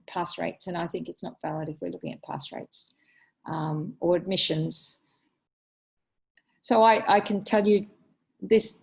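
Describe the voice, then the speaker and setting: A middle-aged woman coordinating an online meeting, presenting data with many ums and halting restarts over a video call. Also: fairly low pitch, vocal fry, darkish tone, rough recording.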